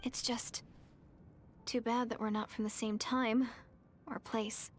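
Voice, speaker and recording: An actress voicing an earnest, hopeful young woman in a video game, speaking sincerely and gently. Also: fairly high pitch, flat delivery, slow, a little hiss or hum.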